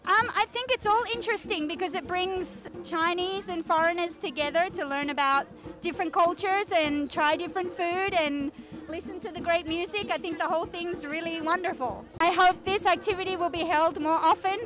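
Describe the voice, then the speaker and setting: A woman from Australia, chatting enthusiastically as a fair visitor outdoors. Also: very high-pitched. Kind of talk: casual interview. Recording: music playing behind.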